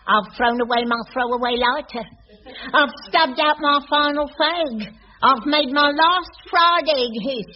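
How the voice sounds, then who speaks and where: An actress playing an over-amorous, sentimental cleaner in a radio sitcom, gushing with an English working-class accent. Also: female voice, sits high, unhurried. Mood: chatty. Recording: dull, noisy vintage radio recording.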